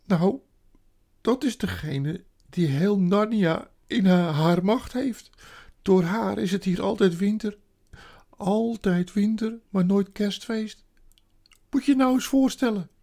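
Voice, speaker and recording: A storybook reader voicing a sorrowful, guilt-ridden male faun who wails and confesses haltingly. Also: mid-range voice, vocal fry, mellow, pitched above the performer's own voice. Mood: miserable confession. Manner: wailing, self-accusing, halting.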